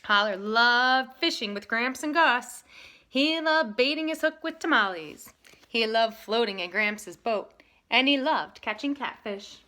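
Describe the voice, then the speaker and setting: A woman teaching and reading a picture book aloud with emphatic, expressive delivery. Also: on the high side, measured pace. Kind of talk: lesson talk and animated storybook reading.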